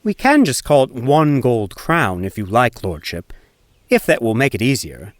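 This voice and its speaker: Sly, cheeky minstrel, a male actor, very animated. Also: mid-range voice, normal speed, no room sound, natural voice. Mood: slyly insistent. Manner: casual, teasing, mock-deferential. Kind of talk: full-cast audiobook acting.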